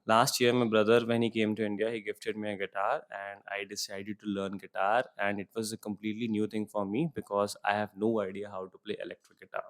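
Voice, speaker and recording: A man whose first language is Punjabi, teaching as an IELTS speaking tutor, reading each question aloud and then answering it in simple, steady sentences. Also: fairly low pitch. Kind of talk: exam-prep lesson with model answers.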